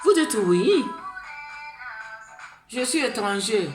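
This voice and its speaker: A woman's voice: on the high side, sing-song, takes it slowly. A woman from Côte d'Ivoire with an Ivorian accent, speaking in an impassioned, pleading monologue to camera, rambling and tagging phrases with "là". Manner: fervent and pleading, rambling with repeated "là" tags. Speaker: vlogger. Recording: roomy echo with music behind.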